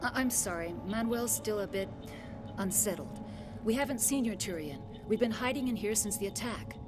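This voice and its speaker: A woman playing an earnest, composed scientist in a video game, speaking in a measured, articulate way. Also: mid-range voice, lively intonation, close to an everyday voice. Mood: apologetic. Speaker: scientist in charge of an excavation. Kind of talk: dramatic video game dialogue. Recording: loud game music under dialogue. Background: music.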